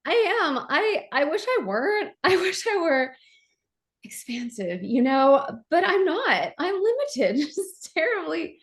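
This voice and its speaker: A woman acting a dazed, fragile young woman in a staged play reading, halting and repeating herself nervously. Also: very high-pitched, very animated, full character voice. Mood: self-pitying. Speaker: actor.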